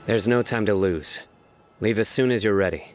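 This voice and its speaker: A man playing a stern, calm, analytical desert enforcer in a video game, speaking in a measured, serious, deliberate way. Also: fairly low pitch, natural voice. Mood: resolute. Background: music.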